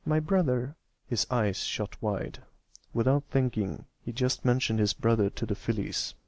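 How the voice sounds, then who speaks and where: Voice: medium pitch, fairly even intonation, a little slow, a little mellow, no echo. An amateur reader giving a scripted narration of a story.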